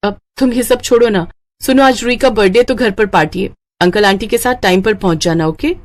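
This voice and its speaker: A woman voicing a kind, dutiful young doctor in a cartoon story, speaking earnestly and gently. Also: mid-range voice, fairly quick, natural voice. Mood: reassuring. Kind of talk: Hindi cartoon moral story acting.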